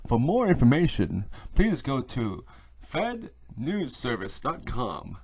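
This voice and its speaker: A man's voice: mid-range voice, wildly swooping pitch, slow pace, a little mellow, breathy edge, no room sound. A man hosting a scripted fictional news podcast, with playful, mock-naive reactions between segments. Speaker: podcast host. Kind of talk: light scripted presenting of a fictional news show.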